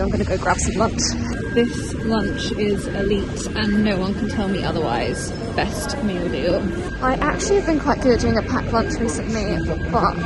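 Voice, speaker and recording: A woman's voice: mid-range voice, very noisy. A woman in her twenties with an English accent, chatting informally and self-deprecatingly in a casual day-in-the-life vlog. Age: 20s. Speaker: vlogger, student actuary. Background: music.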